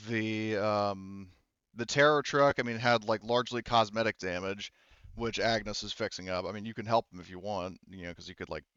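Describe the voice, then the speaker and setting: A man's voice: lowish voice, fairly even intonation, moderate pace, a bit bright, clean tone, no room sound, dull audio. A man speaking casually as game master of a tabletop roleplaying game.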